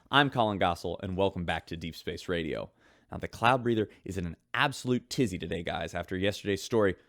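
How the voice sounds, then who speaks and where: A chatty shipboard radio host who delivers gossip in an announcer's voice. His voice is fairly low but very lively, and the close recording sounds dry. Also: no room sound. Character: upbeat male radio host on a spaceship. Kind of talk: radio drama acting as a broadcaster.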